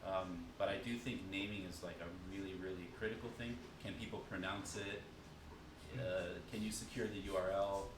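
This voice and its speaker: A man giving a relaxed marketing talk at a dinner event, full of fillers and restarts. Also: low voice, moderate pace, noisy background. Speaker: guest speaker on marketing. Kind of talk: casual presentation with Q&A. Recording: echoey room, distant mic, background chatter.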